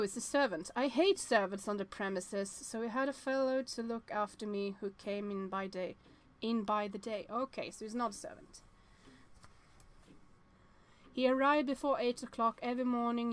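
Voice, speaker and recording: Higher-pitched chatty woman streaming a game. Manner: chatty, expressive, thinking aloud with exclamations. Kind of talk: casual live game commentary, reading on-screen text aloud.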